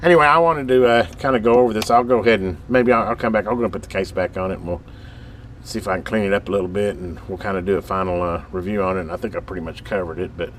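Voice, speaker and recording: A man with a fairly low voice and an American accent talks like a hobbyist showing off gear on video. He rambles in a casual, folksy way, working out his thoughts as he goes. There is some background noise around him. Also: very fast. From United States. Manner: folksy, rambling, thinking aloud. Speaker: radio hobbyist presenting on his channel. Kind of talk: casual hands-on video chat. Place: workbench with radio gear.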